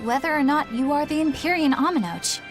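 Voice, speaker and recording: A woman's voice: high-pitched, a little fast, music in the background, natural voice. A woman voicing an earnest, righteous young female exorcist in a video game, formal and sincere. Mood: flustered.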